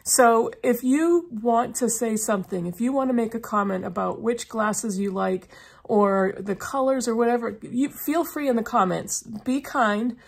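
A woman's voice: a little high, unhurried, vocal fry, husky. A middle-aged woman chatting casually to camera as a book vlogger, her voice slightly froggy from a lingering cough. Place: small indoor room lined with bookshelves.

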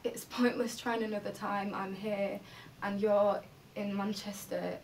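A woman's voice: medium pitch, flat delivery, measured pace, lightly acted. An actress playing a hurt, guarded young woman in an understated, naturalistic film drama. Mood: resigned. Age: 20s. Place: student bedroom with bay window, indoors. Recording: distant location sound, clear background noise.

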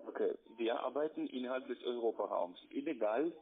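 A call centre salesman, a man arguing on the phone in a halting, repetitive, insistent way. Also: medium pitch, expressive. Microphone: telephone call. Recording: tinny narrow-band phone line.

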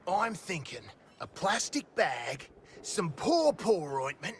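High-pitched, cocky rogue. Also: male voice, big pitch swings, takes it slowly, fairly bright tone, a little hiss or hum, full character voice. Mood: jokey. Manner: brash, jokey, slangy. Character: cocky, crude male rogue supervillain. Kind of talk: video game character acting.

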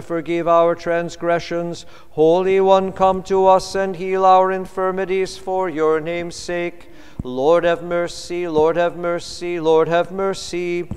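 A man's voice: fairly high pitch, clean tone. Man chanting prayers in a long-echo hall. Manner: slow intoned chanting. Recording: long reverb of a large hall.

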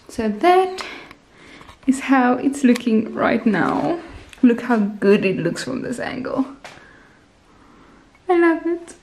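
A woman's voice: on the high side, creaky, a little mellow. A young woman vlogging at home, chatting casually to the camera while filming on a handheld phone in her kitchen and bathroom. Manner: rambling, talks straight to the camera while cooking. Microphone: handheld phone camera at arm's length.

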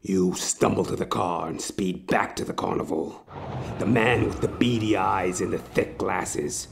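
A man narrating a horror audio play dramatically, intense and theatrical, pausing between short phrases. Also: sits low, creaky.

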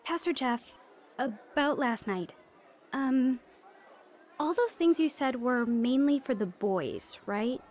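High-voiced, hesitant teenage girl. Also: lively intonation, a little mellow, a faint crowd, full character voice. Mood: hesitant, curious. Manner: hesitant, halting.

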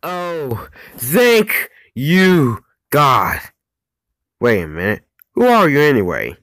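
A man voicing a brash young male blocky game hero in a fan animation, excitable and jokey. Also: mid-range voice, takes it slowly, no room sound, clean studio sound, pitched above the performer's own voice, full character voice. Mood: curious. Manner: excitable, jokey, yelps and laughs often. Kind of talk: amateur fan animation voice acting.

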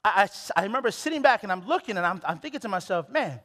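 A man in his late twenties from Hawaii, preaching a sermon on a church stage with a headset mic, animated and full of restarts. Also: high-pitched, quick pace. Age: late 20s. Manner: animated, exclamatory, with many restarts. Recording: long hall reverb.

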